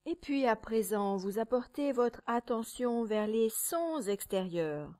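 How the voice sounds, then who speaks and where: Slow, soft woman guiding meditation. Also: fairly high pitch. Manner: calm, measured, soothing, with pauses between short phrases. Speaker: yoga nidra teacher. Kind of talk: guided meditation.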